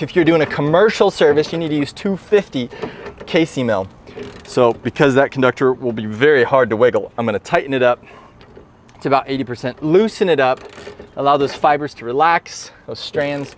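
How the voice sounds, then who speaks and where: A man's voice: mid-range voice, slight background noise. A talkative man with a nasal voice, an electrician explaining his work on the job in a casual, upbeat way. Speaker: electrician and instructor.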